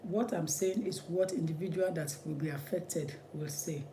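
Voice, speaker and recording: A man's voice: fairly high pitch, moderate pace, warm tone, slight background noise. A man with a Nigerian accent, an immigration lawyer giving impassioned commentary in an emphatic, repetitive, preacherly way.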